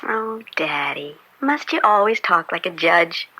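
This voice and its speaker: An actress playing a young, opinionated college student, a judge's daughter, teasing and bookish, in an old-time radio drama. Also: female voice, on the low side, very animated, measured pace, pushed and bright, heavily acted, poor audio. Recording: vintage radio drama recording.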